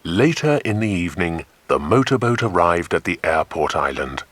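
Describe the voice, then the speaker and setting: A man's voice: low voice, very animated, moderate pace, fairly bright tone, no echo. A British man narrating a dramatised children's adventure audiobook.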